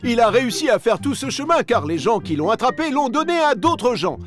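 Male narrator, very high voice, lively intonation, children's explainer, light music underneath. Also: fairly quick. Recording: music behind the voice.

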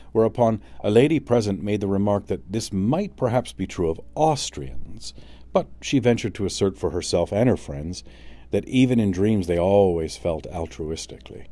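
Deep, dark-voiced male audiobook reader. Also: dry recording. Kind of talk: measured scripted narration of a classic essay.

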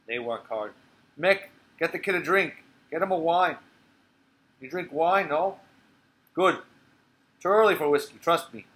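A man's voice: a little high, fairly bright tone, low-quality recording. A man reading a stage play, voicing a tired, streetwise old bar regular in short, blunt, profane phrases. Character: tired, profane, streetwise old male barfly rambling to strangers. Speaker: playwright reading his own play. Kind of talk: dramatic monologue reading.

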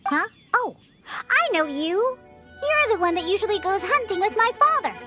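A woman's voice: very high voice, big pitch swings, normal speed. A woman voicing a sassy, grumpy cat-eared little girl bartender in a video game, huffy and haughty. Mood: suspicious, huffy. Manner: huffy, haughty, emphatic delivery. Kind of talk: scripted video game dialogue. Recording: music behind. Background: background game music.

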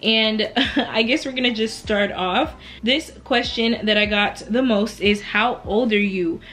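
A woman in her early twenties chatting casually through a Q&A vlog while lying back on her bed at home, relaxed and laughing often between answers. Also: fairly high pitch, measured pace. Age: 20s. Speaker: beauty and lifestyle YouTuber.

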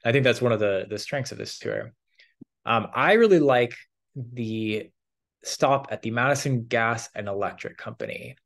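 A man's voice: medium pitch, animated, normal speed. A man co-presenting an academic talk on a video call, casual, with "like" and "um" as fillers.

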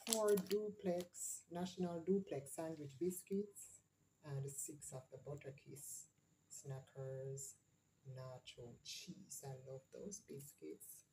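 Low, slow-talking Jamaican woman. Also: slight background noise, poor audio. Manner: listing items one by one, unhurried. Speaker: vlogger. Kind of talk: casual grocery haul narration.

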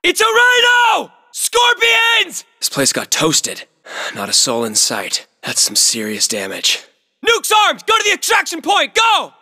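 An actor playing a tough squad soldier in a military shooter game, giving urgent, clipped battlefield callouts. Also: male voice, extremely high pitch, huge pitch swings, unhurried, sharp tone, shouting, big-room sound, heavily acted. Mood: tense, watchful. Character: tough male soldier on a squad fighting aliens.

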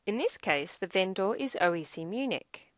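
A woman presenting a software demo as scripted instructional narration, measured and explanatory. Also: mid-range voice, expressive, dry recording, studio quality.

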